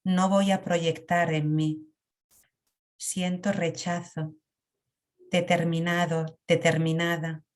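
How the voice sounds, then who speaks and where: A woman leading a guided emotional meditation in a calm, reflective way. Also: lowish voice. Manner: slow, calm, reflective guidance with long pauses.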